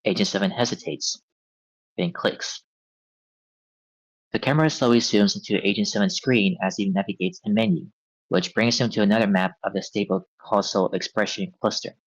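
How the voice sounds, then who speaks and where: A man's voice: lowish voice, expressive, moderate pace, breathy edge. A man reading stage directions aloud as the narrator of a script table read. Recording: dull, band-limited audio.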